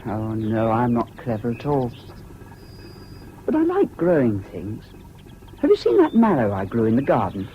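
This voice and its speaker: An earnest, eccentric English schoolboy in an old radio play, with a public-school accent and swooping, animated pitch. He is polite and self-deprecating. The broadcast is muffled and hissy. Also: moderate pace, pauses often. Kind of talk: 1970s BBC radio drama acting.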